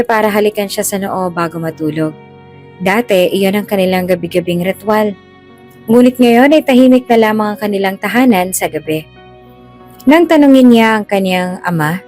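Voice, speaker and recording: A woman with a medium-pitched voice narrates a dramatic story. She reads as a scripted storyteller, with music playing behind her. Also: expressive, normal speed, a little mellow.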